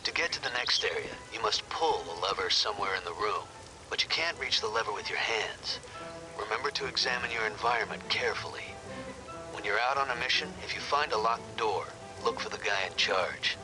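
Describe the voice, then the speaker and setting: Casting: male actor as seasoned space-knight mentor for a game, low pitch, dry and sardonic, easygoing, music underneath. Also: brisk, natural voice, low-quality recording. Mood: stern, instructing. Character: laid-back, wry veteran Jedi master. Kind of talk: scripted video game voice acting. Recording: music behind the voice.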